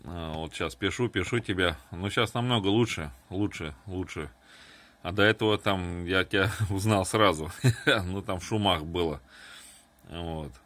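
A man's voice: low-pitched, unhurried, fairly bright tone. A man chatting casually as an amateur radio operator, heard over a two-way radio transmission. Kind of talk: casual ham radio chat. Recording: noisy, humming FM radio transmission.

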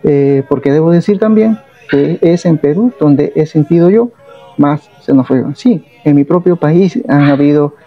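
A man's voice: fairly high pitch, normal speed, faint music behind. Peruvian man, slightly muffled recording. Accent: Peruvian Spanish. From Peru. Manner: reflective storytelling with frequent tag questions. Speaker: vlogger. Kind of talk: personal travel vlog, talking to camera.

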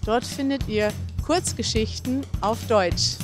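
A young woman presenting a language lesson on a busy city street, talking clearly and upbeat into a handheld mic. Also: a little high, takes it slowly, over music. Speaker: host.

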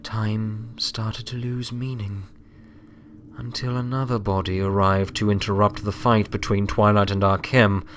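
A man's voice: lowish voice, flat delivery, a little slow, a little hiss or hum. A man reading a scripted story as an audiobook narrator. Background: music.

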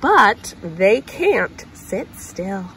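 Slow, expressive woman reading to children. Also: mid-range voice, poor audio. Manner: expressive, deliberate reading with emphasized words. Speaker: storytime host reading to children. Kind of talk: read-aloud picture book narration for children. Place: outdoors on grass. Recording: clear outdoor ambient noise.

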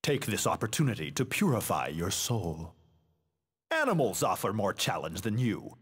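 A proud, menacing video game warrior. His voice is gritty and swings dramatically from low to high, with haughty, theatrical declamation. It rings out in a long reverberant space. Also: huge pitch swings, normal speed, slightly rough, exaggerated voice. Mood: cold disdain. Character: proud, menacing adult male warrior, disdainful of weaker foes.

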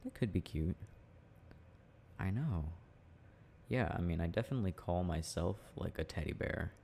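Deep mellow gravelly guy, playful boyfriend asmr murmur, bit of background noise. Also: expressive. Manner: playful, affectionate, halting and murmured. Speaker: boyfriend roleplay audio performer. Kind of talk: intimate ASMR boyfriend roleplay, casual chat.

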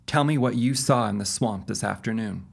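A man plays a police detective in a radio drama. He is formal and measured, questioning patiently with a skeptical, official air. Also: lowish voice, creaky, slightly rough. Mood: businesslike. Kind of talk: audio drama acting.

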